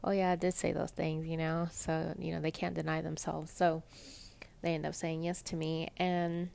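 A young woman with a soft, pleasant voice chatting casually and rambling in a personal podcast monologue, full of fillers. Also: low-pitched, little pitch movement, normal speed, vocal fry. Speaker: podcast host.

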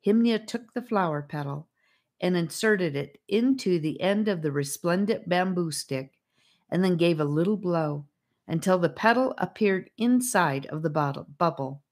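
Female audiobook narrator, low register, scripted storytelling for a children's fantasy. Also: expressive, moderate pace.

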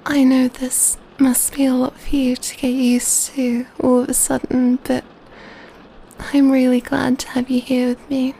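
A woman playing a gentle, doting female vampire in a soft-spoken, tender ASMR roleplay. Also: sits high, completely flat delivery, creaky, slight background noise, rough recording. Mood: tender, loving. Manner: tender, slow, hushed, softly giggling delivery. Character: gentle, doting female vampire pampering her adopted catgirl lover.

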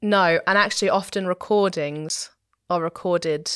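A woman's voice: lowish voice, measured pace, clear, resonant tone, no room sound, studio quality. A woman speaking conversationally as an interview guest, explaining things in a thoughtful way. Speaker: interview guest, church musician and singer.

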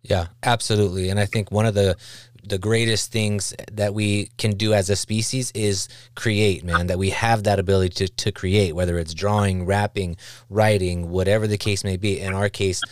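Low-voiced earnest podcast host. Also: male voice, little pitch movement, moderate pace, dry recording, studio quality. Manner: earnest, keeps saying "man". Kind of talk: casual podcast conversation.

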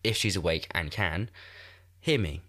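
A man's voice: low-pitched, measured pace, fairly bright tone. A man in his twenties with a blocked, congested nose, rambling self-mockingly and swearing as he hosts a solo podcast from a room in a shared house. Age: 20s. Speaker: podcast host. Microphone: desk microphone.

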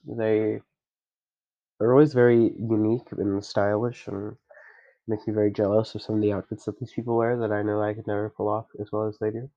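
A man's voice: lowish voice, dull audio. A young man with a stuffy nose, chatting casually and rambling with lots of fillers as a podcast host. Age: young adult. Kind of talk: casual solo chat.

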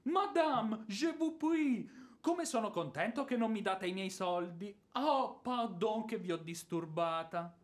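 An actor playing a boorish, hot-tempered ex-officer creditor in a radio drama, sarcastic and theatrical, moving between muttering and bluster. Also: male voice, sits high, expressive, slow pace. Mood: mocking. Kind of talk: radio drama stage acting.

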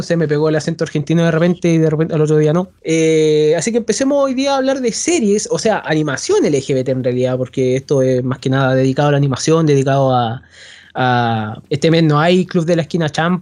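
A man's voice: fairly high pitch, darkish tone. A man hosting a podcast, talking casually and rambling with frequent restarts. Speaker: podcast host.

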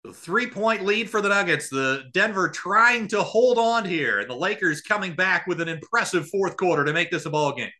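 A man doing live basketball play-by-play for radio. His voice is very bright and sharp, pitched a little high for a man. He delivers the commentary in an excitable sportscaster manner. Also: normal speed, hardly any pauses. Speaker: play-by-play announcer.

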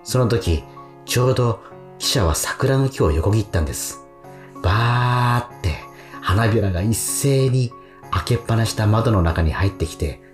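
An actor playing a dreamy, slightly awkward young man who tells of his romance in a radio drama. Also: lowish voice. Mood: tender. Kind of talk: radio drama monologue and dialogue. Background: plucked guitar music.

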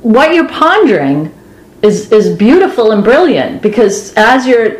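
A woman's voice: fairly high pitch, big pitch swings, unhurried. A woman co-host earnestly explaining spiritual ideas in a long, expansive way. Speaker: podcast co-host. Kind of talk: spiritual discussion. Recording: roomy echo.

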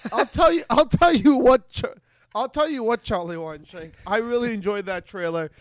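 Male comedy host, very high pitch, playful casual chat, no room sound. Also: moderate pace, no room sound. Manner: playful, jokey. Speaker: podcast host.

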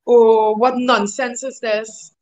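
High-voiced Trinidadian woman, chatty. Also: level tone, takes it slowly, darkish tone, rough recording. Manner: chatty, laughs often, many fillers like "like" and "you know". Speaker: guest. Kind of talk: casual interview chat.

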